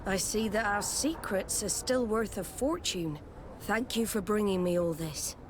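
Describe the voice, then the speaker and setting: Game role, actress: proud female naval commander, firm formal delivery, medium pitch, audible outdoor noise underneath. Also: measured pace, close to an everyday voice. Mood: grateful, formal. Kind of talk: video game cutscene acting. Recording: noisy outdoor ambience behind the voice.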